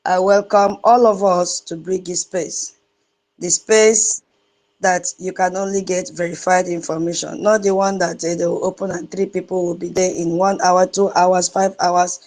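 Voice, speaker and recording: A woman's voice: on the low side, low-quality recording. Nigerian woman hosting a live audio room. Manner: greets listeners one by one in dialect, sing-song and warm. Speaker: host of an online audio space. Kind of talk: opening greetings and welcomes.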